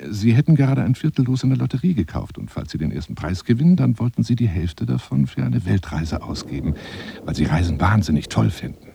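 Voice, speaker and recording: A deep, gravelly, theatrical lonely adventurer. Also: male voice, mellow, full character voice. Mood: eager, courting. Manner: theatrical, flowery, insinuating, dropping into breathy near-whispers. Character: lonely, boastful bachelor adventurer wooing a woman by phone. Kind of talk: radio drama monologue, one side of a phone call.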